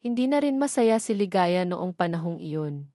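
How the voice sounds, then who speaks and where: A woman's voice: lowish voice, lively intonation, normal speed, mellow, dry recording. Woman narrating a scripted story, dry sound. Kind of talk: scripted audio drama narration.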